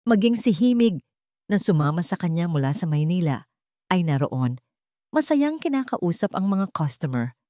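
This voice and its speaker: A woman's voice: on the low side, sing-song, moderate pace, dark tone, no echo, studio quality. A woman reading a scripted story in a dramatic narration style.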